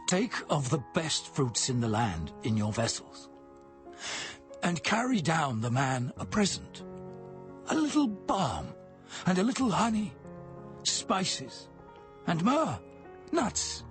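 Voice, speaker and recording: An actor playing an aged, grieving Israelite patriarch in a dramatized scripture reading, speaking in halting, solemn archaic phrases. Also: male voice, a little high, very animated, soft background music. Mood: resigned, sorrowful.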